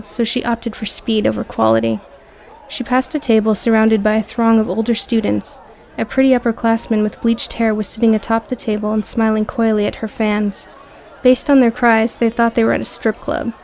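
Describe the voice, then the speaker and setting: A woman's voice: a little high, gravelly, darkish tone. A woman reading scripted audio book narration as the narrator.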